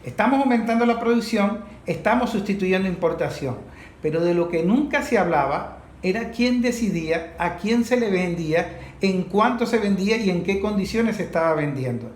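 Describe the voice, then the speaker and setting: Venezuelan man, high voice, emphatic and repetitive in argument, recorded in an echoing room. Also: animated, normal speed. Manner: emphatic, builds points through repetition. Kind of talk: unscripted panel discussion. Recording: echoey room.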